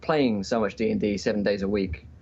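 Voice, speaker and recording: Male podcast guest, lower register, conversational and hesitant, dull remote-call sound. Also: fairly even intonation, a little fast, a little mellow, slightly rough. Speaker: podcast guest, tabletop game streamer. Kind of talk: casual interview chat.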